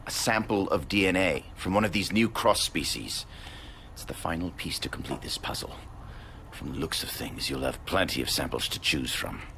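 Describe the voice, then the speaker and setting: A man's voice: low-pitched, moderate pace, creaky, noisy background. An actor playing an absorbed, absent-minded male scientist in a video game, eager and breezily unapologetic.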